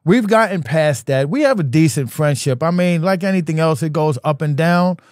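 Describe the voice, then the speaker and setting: A man's voice: on the high side, lively intonation, moderate pace, a little mellow, dry recording, studio quality. A man in his sixties, talking earnestly as a podcast guest in casual chat, often repeating himself. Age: 60s.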